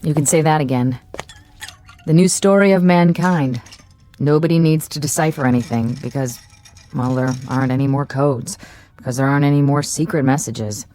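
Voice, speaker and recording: A quiet, uneasy assistant played by a woman with a low, mellow voice. She speaks thoughtfully, with soft music faintly behind her in an audio drama. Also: normal speed, lightly acted. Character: uneasy female assistant code breaker. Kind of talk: radio drama acting.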